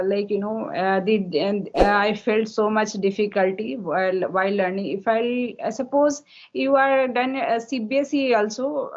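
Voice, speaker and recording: A woman in her thirties from India, a native Hindi speaker with an Indian English accent, answering questions in a halting practice conversation full of fillers over an online call. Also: fairly high pitch. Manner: halting, many fillers and restarts. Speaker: English learner, homemaker. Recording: dull lo-fi call audio.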